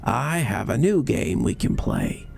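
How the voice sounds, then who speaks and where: Man voicing a coaxing little storybook creature, gravelly. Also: medium pitch, wildly swooping pitch, unhurried, darkish tone, faint music behind, exaggerated voice. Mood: eager, conspiratorial. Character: small big-mouthed male creature, secretive, eager imaginary playmate.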